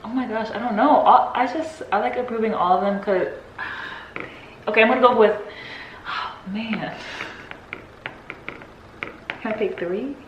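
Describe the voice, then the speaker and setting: A woman's voice: fairly high pitch, measured pace, long pauses, highs cut off. A young woman, a guest athlete, chatting casually and giggling easily as she answers questions. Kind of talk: casual Q&A chat. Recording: echoey with faint noise.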